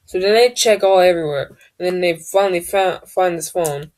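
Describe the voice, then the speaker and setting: A woman with a deep voice, telling a personal story in a casual vlog, often hesitating and searching for words. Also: normal speed, darkish tone. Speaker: vlogger.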